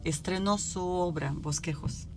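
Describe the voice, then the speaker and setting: A woman's voice: on the low side, measured pace. A woman interviewer asking questions in a hesitant way. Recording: noisy room ambience.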